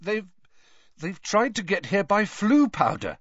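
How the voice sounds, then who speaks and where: A man voicing an earnest, quick-thinking teenage boy wizard in an audiobook. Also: high-pitched, huge pitch swings, a bit bright, no room sound, lo-fi sound, voice pushed up, exaggerated voice. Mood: amused, explaining. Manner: calling out urgently.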